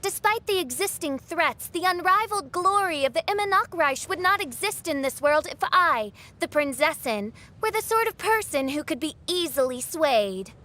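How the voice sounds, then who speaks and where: A woman's voice: extremely high pitch, animated, normal speed, few pauses, pushed and bright, full character voice. A woman voicing a haughty young princess in an anime game, with pompous, archaic, theatrical declamation over music. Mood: lofty. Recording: music behind the voice.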